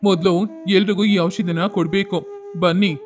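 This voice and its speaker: A man plays a principled village healer in a moral storybook. He speaks in a high male voice, measured and admonishing, over faint music. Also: steady tone. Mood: firm.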